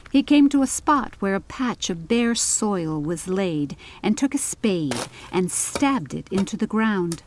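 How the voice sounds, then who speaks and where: Slow, measured woman narrating a storybook. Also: mid-range voice, a little mellow, a little hiss or hum. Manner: measured, deliberate, literary delivery. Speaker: audiobook narrator.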